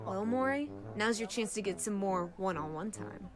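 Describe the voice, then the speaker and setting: A woman playing a cheeky, irreverent, excitable friend who teases and wisecracks in an audio drama. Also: medium pitch, moderate pace, over music, lightly acted. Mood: needling. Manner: wisecracking, teasing.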